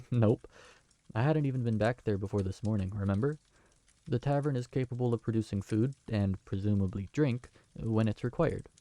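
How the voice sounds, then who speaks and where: A man's voice: lowish voice, moderate pace, mellow, no echo, natural voice. A man playing a young man who just inherited a magical tavern, speaking in a polite, earnest, slightly flustered way. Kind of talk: fantasy audio drama acting.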